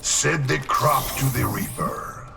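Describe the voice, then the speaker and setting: A man playing a brutal, cocky muscle-bound supervillain in a fighting game, with a gravelly, forceful, threatening delivery. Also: mid-range voice, huge pitch swings, slow, exaggerated voice. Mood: threatening swagger. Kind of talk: fighting game character dialogue. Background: loud music.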